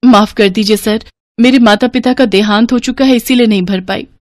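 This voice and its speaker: A woman's voice: fairly high pitch, steady tone, brisk, no echo, clean studio sound, natural voice. Female narrator as humble, determined young orphan heroine for a cartoon fable, earnest and pleading. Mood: sad, pleading. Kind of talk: animated moral story character acting.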